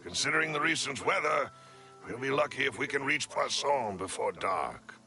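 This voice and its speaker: A man playing a gruff, practical companion in a video game, with a gravelly voice. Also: mid-range voice, lively intonation, normal speed, pushed and bright, soft background music.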